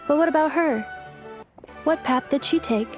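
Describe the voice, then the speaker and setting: A polite machine with an earnest curiosity voiced by a woman for a video game. The voice sits high and feminine, with formal, measured phrasing, and soft music plays behind it. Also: lightly acted. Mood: polite, inquiring. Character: polite female robot with glitchy cognition, earnestly curious.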